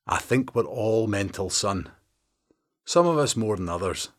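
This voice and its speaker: A man voicing a wry, teasing Scottish detective past forty with a deadpan humour. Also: lowish voice, unhurried.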